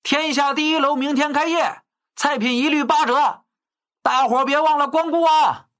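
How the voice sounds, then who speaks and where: A man's voice: extremely high pitch, fairly even intonation, moderate pace, fairly bright tone, pitched above the performer's own voice. A man voicing a shrewd, playful young nobleman, courteous and salesmanlike, in an audiobook. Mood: loud hawking.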